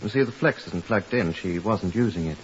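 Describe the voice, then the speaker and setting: An actor playing a dry, sceptical police superintendent in a 1950s radio drama, with a clipped, matter-of-fact manner. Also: male voice, deep voice, brisk, lightly acted. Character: dry, sceptical male Scotland Yard superintendent. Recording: muffled hissy old radio recording.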